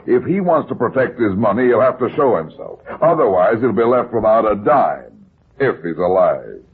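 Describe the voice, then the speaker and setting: A man's voice: on the low side, sing-song, moderate pace, breathy edge, full character voice. An actor playing a shrewd, unruffled male private detective in an old-time radio drama, firing terse, probing questions. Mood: calm, calculating. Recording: muffled, tinny old radio recording.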